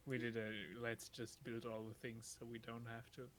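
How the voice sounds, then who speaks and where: A man's voice: medium pitch, monotone, moderate pace. A man co-commentating on a game stream in casual chat, with a dry, teasing manner. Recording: faint background noise.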